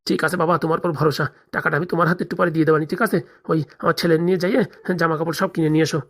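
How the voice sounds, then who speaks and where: A man's voice: a little high, steady tone, racing, fairly bright tone. Comic cartoon part: man as deferring, penny-watching older dad, racing speech. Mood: hopeful, trusting. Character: older village father, thrifty, trusting wedding host. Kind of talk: comedy cartoon dialogue.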